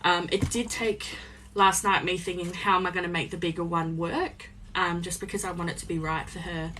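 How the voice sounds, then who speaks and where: A young woman talks casually while she sews, her voice a little croaky. She rambles along with plenty of ums. There is a faint noise behind her, with a few small clicks. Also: fairly low pitch, fairly even intonation, brisk, a bit bright, low-quality recording. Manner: chatty, rambling, many ums. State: slightly croaky voice. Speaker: small business owner live-streaming crafts. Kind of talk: casual chat while sewing. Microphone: phone on a live stream.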